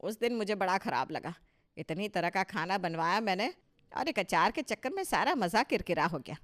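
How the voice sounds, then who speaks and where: A woman's voice: medium pitch, expressive, normal speed, fairly bright tone, natural voice. A woman playing a proud, touchy older mother-in-law in a Hindi cartoon story, emphatic and boastful with a scolding edge. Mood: determined.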